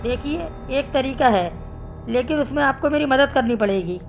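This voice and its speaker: A woman voicing a concerned male village doctor in an animated Hindi story, explaining things in a measured way. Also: high voice, lo-fi sound. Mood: earnest. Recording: music behind the voice.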